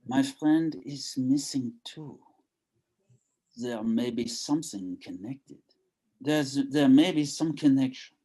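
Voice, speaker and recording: A man playing a solemn investigator in a comic-book reading, measured and serious. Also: medium pitch, mellow, breathy edge.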